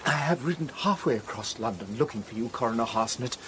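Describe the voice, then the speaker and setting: An actor playing a pompous, exasperated Tudor dean in a radio drama. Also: male voice, medium pitch, lively intonation, a little fast, heavy background noise, natural voice. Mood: indignant, flustered. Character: flustered, indignant, pompous Tudor churchman.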